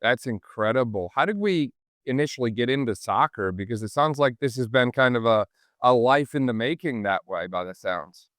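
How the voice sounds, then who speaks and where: A man's voice: medium pitch, lively intonation, moderate pace, a bit bright, no room sound, studio quality. A man hosting a podcast, interviewing in an effusive, wordy conversational way. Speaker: podcast host.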